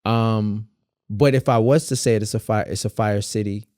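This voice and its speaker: A man's voice: lowish voice, steady tone, moderate pace, a little mellow, no echo, clean studio sound. A man guest on a podcast, talking casually and emphatically about dance, building his points through vivid comparisons. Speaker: dance teacher and battle judge, guest. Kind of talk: casual conversational interview.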